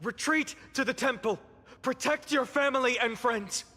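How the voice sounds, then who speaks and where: A man acting a resolute young hero in a video game, speaking in a measured, earnest, dramatic way. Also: very high voice, bright, forceful tone, in a large hall, heavily acted. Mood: urgent, commanding.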